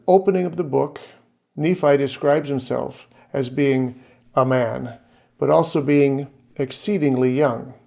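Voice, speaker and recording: Gravelly, mellow male narrator. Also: medium pitch. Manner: measured, lists names and facts steadily. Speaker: series narrator. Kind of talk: scripted narration.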